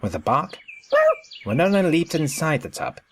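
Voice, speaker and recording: A man's voice: on the high side, big pitch swings, moderate pace, a little hiss or hum. A man narrating a scripted story in a storybook style.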